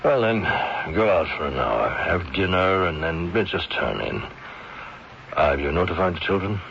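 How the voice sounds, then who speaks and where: An actor playing a grave, insistent middle-aged family doctor, weary and devoted, with a gravelly voice, in 1970s radio drama style. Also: male voice, low voice, sing-song, normal speed, a bit bright, exaggerated voice. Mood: weary, regretful. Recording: dull old radio recording, faint noise.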